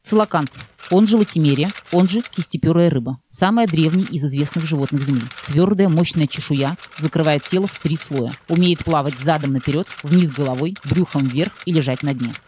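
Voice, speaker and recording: A woman's voice: low-pitched, a little fast, dark tone, slight background noise. A woman narrating scripted mock-scientific character introductions for a cartoon.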